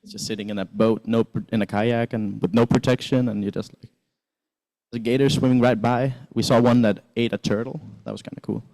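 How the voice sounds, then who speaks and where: A man's voice: mid-range voice, fairly even intonation, a little fast, darkish tone, poor audio. A teenage man from Sweden giving a casual, rambling talk about his exchange year, full of fillers and restarts. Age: teens. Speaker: exchange student presenter. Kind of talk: casual presentation with slides.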